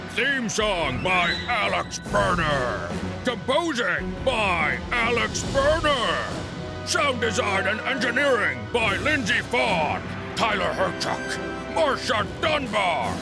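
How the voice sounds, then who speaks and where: A man reads out credits as a playful radio announcer. His voice is notably high and creaky, and the delivery is slow and deliberate with prominent music underneath. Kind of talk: scripted credits reading in a playful radio format.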